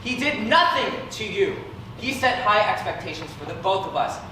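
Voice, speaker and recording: A woman's voice: medium pitch, big pitch swings, moderate pace, natural voice. A woman playing a haughty, competitive favored sibling with a defensive, scornful manner in an amateur stage drama. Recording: echoey room from far off, noisy.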